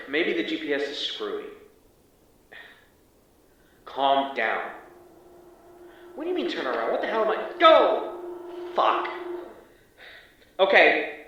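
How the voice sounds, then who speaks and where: A man's voice: medium pitch, bright, forceful tone, heavily acted. An actor playing a wary, irritable male field agent in an audio drama, sighing and hesitant as he reports back. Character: wary, exasperated male field agent exploring an anomalous hallway. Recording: distant, muffled, echoey hallway.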